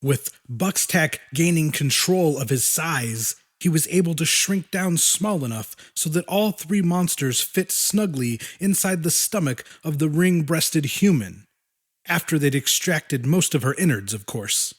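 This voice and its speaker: Slow, animated male audiobook narrator. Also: on the high side. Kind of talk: dramatic scripted fiction narration.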